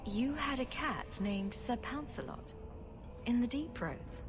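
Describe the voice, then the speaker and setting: A woman playing a practical, lightly wry adventurer in a video game, speaking in a measured, businesslike way. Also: fairly high pitch, animated, music in the background. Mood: calm, curious. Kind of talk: video game cutscene acting.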